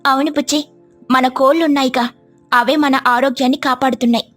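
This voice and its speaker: Woman as gentle high-voiced mother sparrow. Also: fairly even intonation, fast, soft background music. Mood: chatty. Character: good-natured mother sparrow, apologetic reformed idler.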